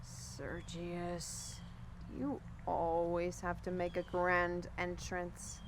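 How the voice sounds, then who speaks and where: Measured, low-voiced stern lady acted by a woman. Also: monotone, background noise. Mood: dry, impatient. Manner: formal, curt, dryly reproving. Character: stern, impatient lady unimpressed by theatrics. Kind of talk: audio drama dialogue.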